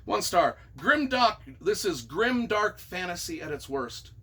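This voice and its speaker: A man's voice: high-pitched, big pitch swings, a little slow, pushed and bright, husky. A man reading reviews aloud and reacting off the cuff as their author, with a theatrical, emphatic, mock-exasperated delivery.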